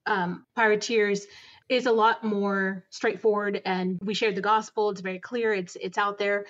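A woman guest on a podcast interview about making audio dramas, speaking in an earnest, steady way. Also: mid-range voice, moderate pace, muffled recording. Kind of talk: interview answers.

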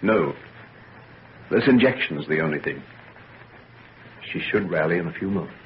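An actor playing an earnest, obsessive young gentleman scientist in an old radio serial, with formal theatrical delivery. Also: low-pitched, expressive, normal speed. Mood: urgent. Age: young adult. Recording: dull, noisy old radio recording.

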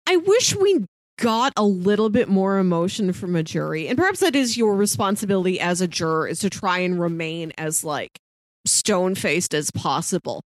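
A woman's voice: fairly low pitch, lively intonation, normal speed, dry recording, clean studio sound. A woman co-hosting a podcast, talking casually as she reviews a television series. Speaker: podcast host.

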